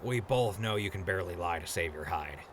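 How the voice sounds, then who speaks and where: Man playing a fast-talking, wry, low-voiced ghoul. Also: lively intonation, slight background noise. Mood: exasperated, coaxing. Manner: casual, sardonic. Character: wry, teasing male ghoul, needling but sensible friend. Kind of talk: post-apocalyptic audio drama acting.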